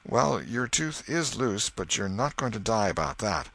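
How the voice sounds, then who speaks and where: A man's voice: lowish voice, sing-song, measured pace, creaky, a bit bright, voice pushed up, full character voice. A man voicing a stern but caring old aunt in an audiobook, with a no-nonsense, exasperated scolding manner. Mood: relieved, scolding. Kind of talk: audiobook character voice.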